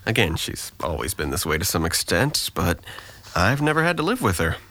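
Actor as a low-voiced, sardonic young man. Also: sing-song, normal speed, bright, forceful tone, full character voice. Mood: detached, weary. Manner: sardonic, deflecting, articulate. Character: Trent, sarcastic depressed young man, guarded and dryly witty. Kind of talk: audio drama dialogue.

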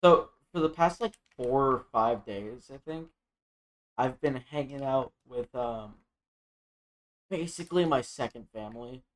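A young man co-hosting a casual podcast, with a voice at an ordinary pitch for a man. He tells his stories loosely, with many fillers and restarts. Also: measured pace. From Venezuelan family. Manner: relaxed storytelling with fillers. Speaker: podcast co-host. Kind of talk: casual chat.

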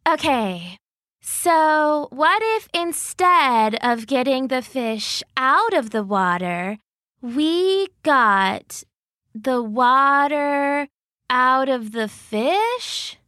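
A woman voicing Goldie, a dim but eager female bear who talks slowly and halts over her words. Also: high-pitched, expressive, bright, forceful tone, no room sound, clean studio sound, pitched above the performer's own voice, exaggerated voice. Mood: slow, careful. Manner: halting, slow, full of fillers.

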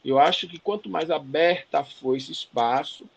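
A man's voice: fairly high pitch, normal speed, creaky, slightly rough, dry recording. A man from Bahia with a Bahian accent, speaking passionately as an interview guest, often tagging sentences with questions and restarting his phrases. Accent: Bahian Brazilian Portuguese. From Bahia, Brazil. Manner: passionate, emphatic, frequent "né" tags and restarts. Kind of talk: conversational interview.

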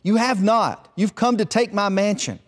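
Parable role: possessive, angry hut-dweller brother acted by a man, high, curt, defiant, reverberant hall. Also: normal speed. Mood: hostile, defiant. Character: hostile, possessive brother guarding his hut.

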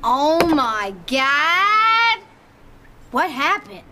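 Sweet nosy old lady neighbor, high voice, unhurried, chatty radio drama. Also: very animated, sharp tone, exaggerated voice. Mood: shocked. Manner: polite, fussy, chatty. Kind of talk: audio drama acting.

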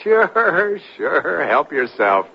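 Scoffing sceptic, drawn-out creaky delivery, radio drama with muffled, noisy sound. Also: male voice, on the high side, very animated, heavily acted. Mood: indulgent. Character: scoffing, sceptical male listener to tall tales. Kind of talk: 1970s radio drama acting. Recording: dull, noisy 1970s radio recording.

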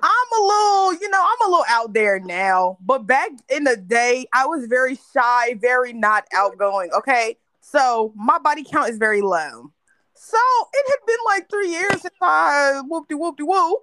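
A woman's voice: sits high, lively intonation, moderate pace, sharp tone, no echo. A woman from North Carolina telling a candid story in a casual chat with friends, laughing often. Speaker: podcast host.

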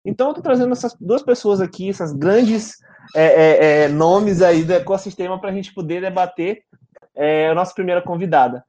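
High-pitched Brazilian man hosting a podcast. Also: moderate pace, warm tone. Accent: Brazilian Portuguese. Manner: informal, chatty, frequent tag questions. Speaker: podcast host. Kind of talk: casual conversational podcast hosting.

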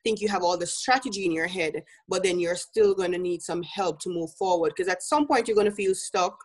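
A woman's voice: on the low side, a little fast, slightly rough. Jamaican woman business coach talking. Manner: earnest, laughs easily, many fillers. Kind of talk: interview guest.

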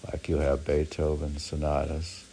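A man's voice: extremely low pitch, completely flat delivery, unhurried, gravelly, warm tone, a little hiss or hum. A man reading his own poems aloud with rambling, musing off-the-cuff commentary, often asking and answering his own questions. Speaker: poet reading own work.

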